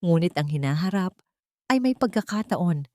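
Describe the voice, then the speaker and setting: A woman narrating a sentimental love story in measured, dramatic short phrases. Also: on the low side, expressive, warm tone, no echo, clean studio sound.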